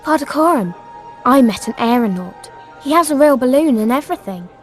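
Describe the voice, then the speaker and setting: A woman's voice: sits high, expressive, brisk, music in the background. A woman playing a bold, eager young girl adventurer in a video game, chatty and earnest. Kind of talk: video game cutscene acting.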